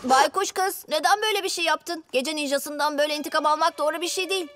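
A woman's voice: high-pitched, fast, heavy background noise, lightly acted. A woman voicing a decisive, earnest young superhero boy in a children's cartoon. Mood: reproachful. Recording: music behind the voice.

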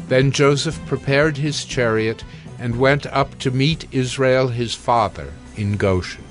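A man with a medium-pitched voice narrates scripture. He reads in a measured, formal manner with light music underneath. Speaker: Bible narrator. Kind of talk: dramatized scripture reading.

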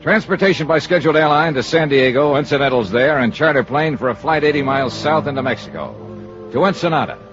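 An actor playing a cool, wry American insurance investigator in a 1950s radio detective serial, with a dry, clipped, sardonic delivery. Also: male voice, fairly high pitch, quick pace, close to an everyday voice. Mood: brisk narration. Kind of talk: 1950s radio detective acting, first-person narration. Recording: muffled 1950s radio recording with music.

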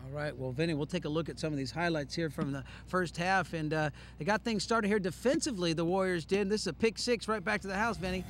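Man, middle-aged, sportscaster, high pitch, upbeat and brisk, outdoors at night, faint background noise. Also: fairly bright tone. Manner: brisk, upbeat play-by-play delivery. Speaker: sportscaster host. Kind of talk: post-game sports commentary. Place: outdoors on a football field at night. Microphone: handheld mic.

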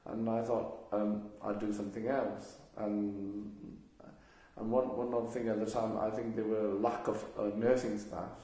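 A man's voice: fairly low pitch, little pitch movement, a little slow, mellow. A man, answering oral history questions in a hesitant way, with many fillers and restarts. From Hong Kong. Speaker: interviewee. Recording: very echoey room.

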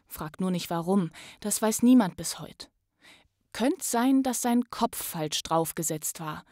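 Casting: female storyteller, dramatic and unhurried, bright tone, dry close sound. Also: mid-range voice, no echo. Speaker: actress and voice artist, guest reader. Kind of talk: expressive storybook narration.

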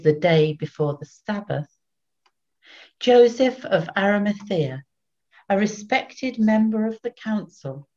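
A woman in her sixties giving a solemn, measured scripted Bible reading as narrator, recorded over a video call at home. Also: on the low side, darkish tone. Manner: measured, deliberate. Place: small room at home. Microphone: video call, computer at arm's length. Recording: dull webcam video call audio.

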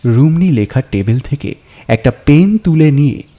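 A man narrating a story in a measured, atmospheric, scripted style with dramatic pauses. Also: mid-range voice, animated, dark tone.

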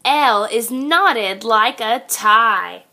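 High, bright, slow woman reading to children. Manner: expressive, sing-song, addressing young children. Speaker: teacher reading aloud to children. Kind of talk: children's picture-book read-aloud.

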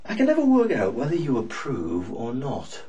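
An actor playing an irreverent, uneasy younger servant in a radio drama, wry and probing. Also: male voice, mid-range voice, wildly swooping pitch, normal speed, dark tone.

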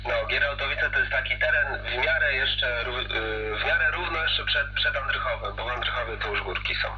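A man's voice: medium pitch, fairly quick. A man chatting casually as a CB radio operator, heard through a radio. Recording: muffled, noisy CB radio reception with hum.

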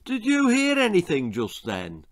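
A man's voice: high-pitched, huge pitch swings, unhurried, voice pushed up, heavily acted. A man with an English accent voicing a mild, easily amused, oblivious father in a comic children's story.

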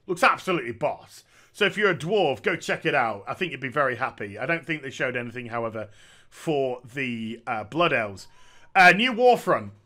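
A man's voice: medium pitch, expressive, moderate pace, pushed and bright. A man, giving sarcastic, opinionated commentary on a game from a small room, speaking into a headset. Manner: sarcastic, opinionated, jokey asides. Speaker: gaming commentator.

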